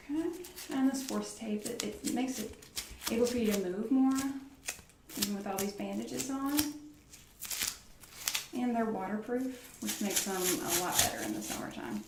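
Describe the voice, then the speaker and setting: Slow, soft-spoken ASMR woman, high-pitched. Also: gravelly, echoey room, recorded from a distance, heavy background noise. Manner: soft-spoken, reassuring, murmured step-by-step instructions. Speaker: ASMR performer playing an orthopedist. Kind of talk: ASMR medical roleplay, one-on-one personal attention. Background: clicks and handling sounds of props.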